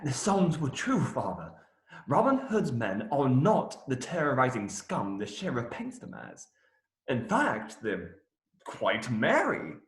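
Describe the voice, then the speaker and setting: A merry, admiring minstrel in a radio drama. His mid-pitched voice moves with lots of animation, in a roomy echo. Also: huge pitch swings, slow. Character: merry, admiring male minstrel among outlaws. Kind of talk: radio play acting.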